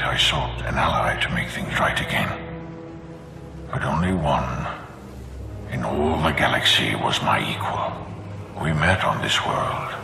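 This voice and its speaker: An actor playing the solemn, commanding ghost of a fallen dark lord in a video game, speaking in grave, deliberate declamation. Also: male voice, full character voice. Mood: grave, resolute. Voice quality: deep, gravelly. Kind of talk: dramatic video game acting. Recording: reverberant hall echo, music underneath.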